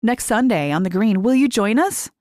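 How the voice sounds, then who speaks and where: An earnest young woman activist as a female audiobook reader plays her speaks in a raised, lilting pitch. She is upbeat and persuasive, in story dialogue. The sound is close and dry. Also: expressive, normal speed, darkish tone, dry recording, natural voice. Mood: earnest, hopeful.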